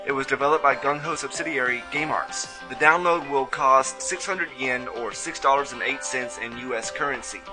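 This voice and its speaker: Male review host, mid-range and bright, scripted narration over light background music. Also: mid-range voice, moderate pace, few pauses. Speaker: host of a video game review show.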